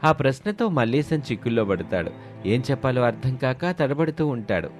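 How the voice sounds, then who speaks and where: Male narrator for a scripted folk tale, mid-range pitch, light music bed. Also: sing-song, moderate pace.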